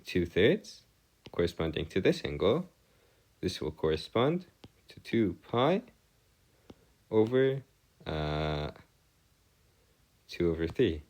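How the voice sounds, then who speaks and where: A man's voice: sits low, sing-song, takes it slowly, pauses often, a little mellow. A man giving an explanatory math lesson as a tutor, speaking in formal, wordy phrases and hesitantly correcting himself as he goes. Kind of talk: semi-improvised explanatory lesson.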